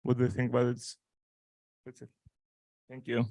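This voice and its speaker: A man's voice: medium pitch, monotone, moderate pace, vocal fry, mellow, dry recording. A man asking a question from the audience, nervous and stumbling over his words.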